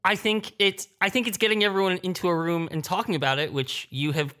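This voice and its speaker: A man's voice: high-pitched, fairly even intonation, brisk, bright, forceful tone. A man speaking as a creative panelist in a roundtable discussion. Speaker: panelist, audio drama creator.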